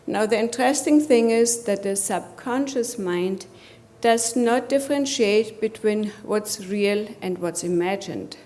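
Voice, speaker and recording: A woman with a German accent speaks from the podium at a conference, coaching her listeners on mindset. She keeps turning to the room with rhetorical questions, her pitch sitting in an ordinary middle range. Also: a little slow, a little mellow. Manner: addresses audience with rhetorical questions. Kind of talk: motivational conference presentation.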